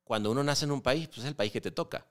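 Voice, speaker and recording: A man in his forties from Venezuela with a Venezuelan Spanish accent, a guest chatting in an interview, relaxed, with many fillers and restarts. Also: mid-range voice, fast talker. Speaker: podcast guest, filmmaker. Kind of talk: casual interview conversation.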